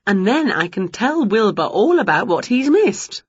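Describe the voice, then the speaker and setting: A woman voicing an excitable, greedy, scatty woman from a children's storybook, gushing and exclaiming. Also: medium pitch, unhurried, breathy edge, no echo, lo-fi sound, voice pushed up. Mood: wondering.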